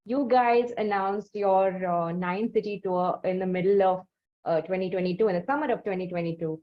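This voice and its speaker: A woman from India hosts an interview in a mid-pitched voice and asks long, winding questions full of fillers. Her audio sounds slightly dull. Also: moderate pace. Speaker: interview host.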